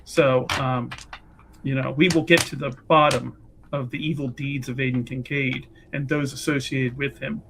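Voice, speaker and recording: A man improvising casual, halting and wordy narration as a player in a tabletop roleplaying game. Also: mid-range voice, flat delivery, gravelly, a bit bright, slight background noise.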